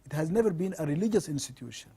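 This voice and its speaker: A middle-aged man from Sudan, a law professor answering interview questions in measured, earnest explanations. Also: fairly high pitch, expressive, warm tone, slightly rough.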